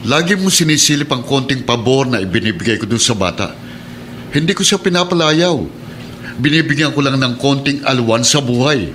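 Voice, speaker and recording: A man's voice: mid-range voice, animated, moderate pace, fairly bright tone, slight background noise, close to an everyday voice. An actor playing a kind, protective middle-aged Filipino policeman and adoptive father in a radio drama. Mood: exasperated. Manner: earnest, encouraging, heated when challenged.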